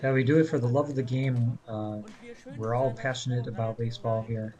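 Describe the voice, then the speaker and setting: A man who is a native English speaker, commentating an amateur baseball game in a relaxed, rambling way with many fillers, speaking as a coach. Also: medium pitch, brisk. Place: ballpark. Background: crowd.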